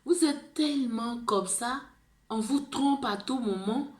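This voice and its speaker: A woman's voice: on the high side, slow pace, echoey room. A woman with an Ivorian French accent gives an impassioned monologue, pleading, repeating herself and restarting words. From Ivory Coast. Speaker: video blogger.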